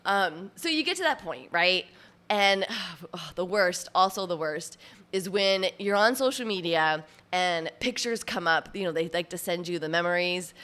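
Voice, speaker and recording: A woman's voice: on the low side, expressive, moderate pace, a bit bright. A woman in her late forties hosting her own podcast as a personal trainer, talking casually with lots of fillers and little laughs, sounding a bit nasal from allergies. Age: 40s. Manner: chatty, laughs often, many fillers. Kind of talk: casual solo podcast talk.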